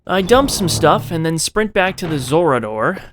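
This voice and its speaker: A man's voice: a little high, very animated, moderate pace, slight background noise. A man narrating a video game playthrough in a dramatized, scripted way, with casual, profane, jokey asides to the viewer. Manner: theatrical, self-interrupting asides to the viewer.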